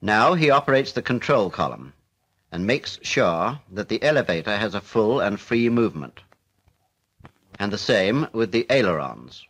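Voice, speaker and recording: A British man narrating a 1940s military instructional film in a formal, measured, matter-of-fact way. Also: on the low side, sing-song, a bit bright.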